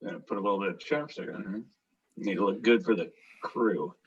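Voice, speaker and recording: A man's voice: on the low side, brisk, lo-fi sound. A man co-hosting a podcast in casual, sarcastic, joking banter with friends. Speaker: podcast co-host.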